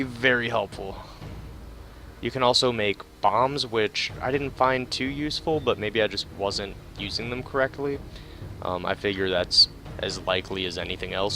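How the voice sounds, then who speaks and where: A man's voice: mid-range voice, measured pace, gravelly, pushed and bright, slight background noise. A man giving casual video game commentary in a laid-back, hesitant, rambling way, sometimes reading out tips. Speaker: game player commentating a playthrough. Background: game music.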